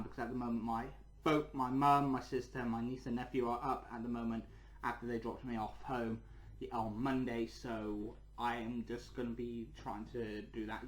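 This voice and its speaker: Man, echoey room, medium pitch, casual rambling vlog host, repetitive phrasing. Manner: rambling, repeats words and phrases. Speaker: channel host and toy reviewer.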